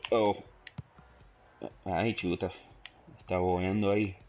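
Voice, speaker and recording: Chilean-tinged Spanish from an English speaker. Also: male voice, sits low, takes it slowly, faint music behind. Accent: Chilean Spanish, English-speaking learner. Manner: mixes Spanish with English, hesitant, frequent fillers; bursts of loud excitement at plays. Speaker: gaming YouTuber. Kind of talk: casual gameplay commentary.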